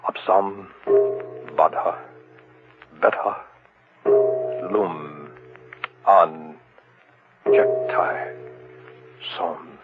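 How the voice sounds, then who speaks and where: An actor in an old-time radio horror drama playing an obsessive husband who dabbles in occult ritual and mutters breathlessly. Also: male voice, sits low, heavily acted. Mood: furtive, urgent. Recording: noisy, tinny old radio recording.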